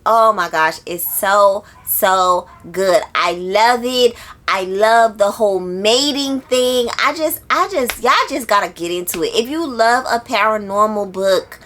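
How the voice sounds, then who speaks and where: Expressive woman chatting casually about books. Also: mid-range voice, measured pace. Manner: expressive, emphatic, repeats words for emphasis; informal, slangy. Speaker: book vlogger. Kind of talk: casual book review chat to viewers.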